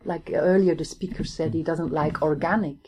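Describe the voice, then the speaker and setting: A Flemish woman in her forties, an academic guest talking thoughtfully in an interview, often pausing to search for words. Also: low voice, steady tone, noisy background. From Belgium (Limburg, Flanders). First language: Flemish Dutch. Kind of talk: reflective conversational interview.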